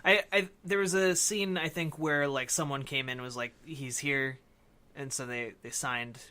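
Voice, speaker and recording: A man's voice: mid-range voice, fairly even intonation, moderate pace, sharp tone. A man co-hosting a podcast, discussing film casually and thinking aloud as he explains. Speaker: podcast co-host.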